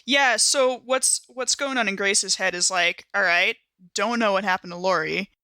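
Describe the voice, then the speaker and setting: Bright, forceful woman playing a tabletop game. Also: medium pitch, normal speed, no room sound, low-quality recording. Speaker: player in an actual play podcast. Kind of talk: casual tabletop role-play chat.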